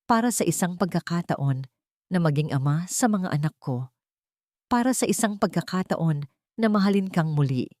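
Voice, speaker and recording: A woman's voice: on the low side, expressive, normal speed, warm tone, no echo, studio quality, lightly acted. A woman voicing a remorseful billionaire man who renounces his wealth, speaking in an earnest, pleading way. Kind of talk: storybook dialogue read by narrator.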